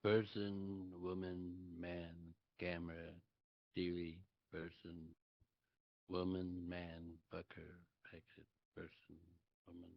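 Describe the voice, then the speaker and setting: Man doing a gravelly, blustering, boastful politician. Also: low-pitched, level tone, takes it slowly, no room sound, muffled recording, exaggerated voice. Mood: muttering, shaken. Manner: boastful, brusque, profane. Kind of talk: live table read acting.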